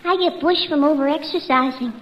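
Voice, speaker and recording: A woman's voice: high-pitched, fairly quick, lightly acted. An actress playing a scrawny, man-hungry, dim young daughter in an old radio comedy, droll and guileless. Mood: droll, feeble. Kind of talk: 1940s-50s radio comedy acting. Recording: dull noisy old radio, loud audience.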